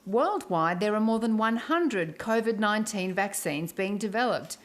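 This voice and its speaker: A woman's voice: medium pitch, expressive, moderate pace. A woman reading a scripted news report as a reporter.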